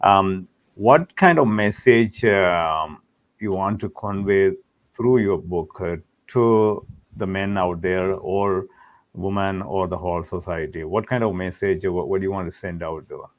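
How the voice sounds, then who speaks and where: A man hosting a talk show, asking questions in a halting way with many fillers. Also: deep voice, no echo. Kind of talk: interview questions. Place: Canada.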